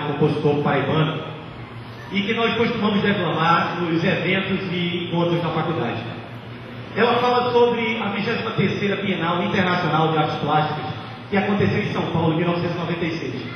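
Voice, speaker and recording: A man, giving a humorous speech as a graduating student at a podium. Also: high-pitched. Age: 20s. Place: large graduation ceremony at a podium. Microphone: microphone on a stand through speakers. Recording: echoey outdoor PA, muffled, distant, noisy.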